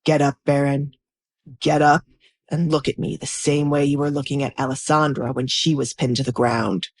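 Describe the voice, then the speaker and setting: A woman's voice: rumbling low, level tone, normal speed, a little mellow, slightly rough, pitched below the performer's own voice, exaggerated voice. A woman voicing a menacing, protective duke in an audio drama, stern and controlled. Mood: angry, commanding. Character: commanding, menacing duke, protective male suitor.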